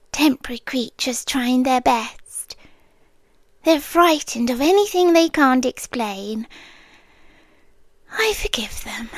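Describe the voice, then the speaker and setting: A British woman voicing a possessive female guardian angel in an ASMR roleplay, half-whispering softly and coaxingly into a close microphone. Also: a little high, no room sound, heavily acted. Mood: tender, unsettling. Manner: soft, intimate half-whispering; calm, coaxing and slow.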